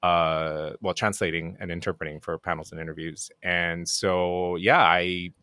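Deep-voiced Japanese man, relaxed. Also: measured pace, no room sound. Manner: relaxed, self-deprecating, many fillers and restarts. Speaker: podcast guest, game producer. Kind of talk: casual interview chat.

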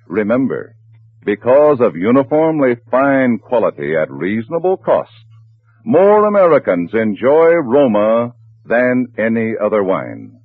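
A man reading a polished advertisement as a 1940s radio announcer, with a husky voice. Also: medium pitch, sing-song, measured pace. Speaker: commercial announcer. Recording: tinny, echoey 1940s radio broadcast.

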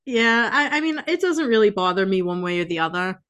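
A woman's voice: medium pitch, animated, a little fast, fairly bright tone. A woman podcast co-host talking in a casual, conversational way. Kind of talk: casual chat.

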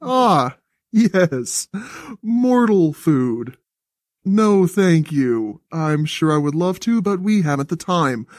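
A man's voice: on the high side, big pitch swings, takes it slowly, dry recording, clean studio sound. A man voicing a haughty, impatient messenger god in a story, prim, formal and disdainful. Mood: disdainful, urgent.

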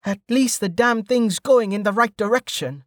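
A man's voice: sits high, measured pace, fairly bright tone, slightly rough, no echo, clean studio sound, pitched above the performer's own voice, exaggerated voice. A man voicing a wary, grumbling traveller talking to himself in a fantasy audiobook. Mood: frustrated. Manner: muttered inner monologue.

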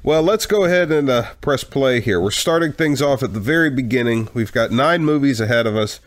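A man's voice: medium pitch, expressive, a little fast. A man hosting a movie marathon podcast, a little congested from a cold, with wry joking remarks. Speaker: podcast host. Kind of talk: casual chat.

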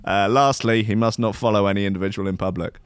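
A man's voice: on the low side, fairly even intonation, quick pace, slight background noise. Sardonic man riffing on a news story. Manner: sardonic, mocking asides, laughs at own jokes. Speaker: comedy podcast host reading news. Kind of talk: comedic news commentary.

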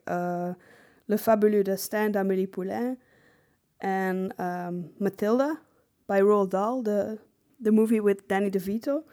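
A woman's voice: medium pitch, warm tone. A woman speaking as a podcast co-host, explaining points casually in a back-and-forth discussion.

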